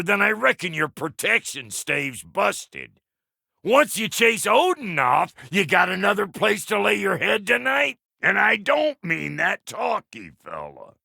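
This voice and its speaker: Video game dwarf blacksmith: crude, cranky and sly, creaky and piercingly bright, folksy insults. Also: male voice, a little high, no room sound, clean studio sound, exaggerated voice. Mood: brusque advice. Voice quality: gravelly, creaky, sharp. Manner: folksy, blunt, drawling slang and colorful insults. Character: crude, cantankerous, foul-mouthed dwarf blacksmith with a sly streak. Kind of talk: video game character acting.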